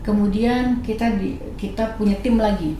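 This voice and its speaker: A woman's voice: medium pitch, normal speed. A middle-aged woman with an Indonesian accent speaking as a guest in a casual discussion, often checking that listeners agree. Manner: animated, checks agreement with rhetorical questions. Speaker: guest speaker, company leader. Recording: very echoey room with faint noise.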